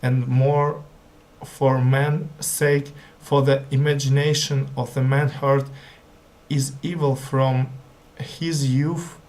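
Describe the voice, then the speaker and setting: A male language learner reads scripture aloud at a slow pace. English is not his native language. He moves haltingly, often stumbling and going back over words. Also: medium pitch, little pitch movement, darkish tone, low-quality recording. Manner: hesitant, stumbling, repeats words.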